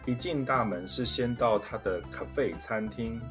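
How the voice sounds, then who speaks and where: A man's voice: mid-range voice, measured pace. A middle-aged man, giving a voice-over narration for a travel vlog. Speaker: travel vlogger. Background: plucked guitar music.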